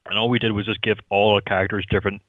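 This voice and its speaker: A low-pitched man tells casual anecdotes in a rambling, conversational way. The sound is dry and close, but slightly dull and lo-fi. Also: flat delivery, very fast, no room sound. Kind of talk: casual podcast chat, telling anecdotes.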